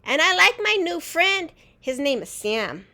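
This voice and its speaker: A self-important little sister from a storybook, put on by a woman reader. She has a high, lilting child's voice. She makes simple declarations and sounds proud of herself. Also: big pitch swings, measured pace, sharp tone, heavily acted.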